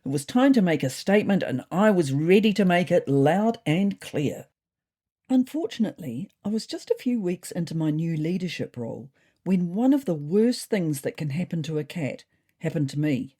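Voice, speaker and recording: A woman's voice: on the low side, sing-song, normal speed, dark tone. A woman voicing a thoughtful, neurotic, self-important male ginger cat who narrates his diary in a pompous, wordy way. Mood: pompous, indignant. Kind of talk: first-person storybook diary narration.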